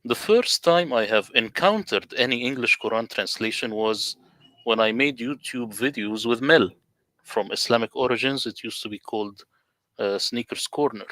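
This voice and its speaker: A man from the Middle East who speaks Arabic natively, talking as a guest over a video call in a deliberate, emphatic, lecturing way. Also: medium pitch, bright, forceful tone, no room sound. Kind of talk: casual livestream conversation.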